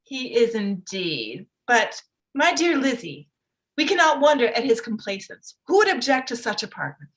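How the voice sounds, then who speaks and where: A woman acts out a middle-aged mother in a period play, fussy and excitable. Her voice sits very high. She gushes and pleads theatrically, set on seeing her daughters married. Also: moderate pace, rough recording. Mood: pushy, gushing. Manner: gushing, effusive, pleading.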